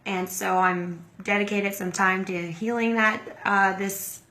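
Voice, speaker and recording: A hoarse middle-aged woman hosting a casual livestream, chatting and greeting viewers by name. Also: mid-range voice, fairly even intonation, a little slow, sharp tone. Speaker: livestream host.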